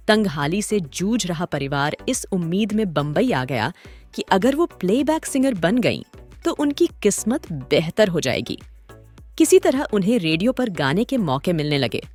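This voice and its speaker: Woman narrator, lowish voice w lots of rise and fall, dramatic documentary storytelling, music behind. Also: sing-song, moderate pace. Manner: dramatic, literary Urdu-tinged storytelling. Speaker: host and narrator. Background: background music.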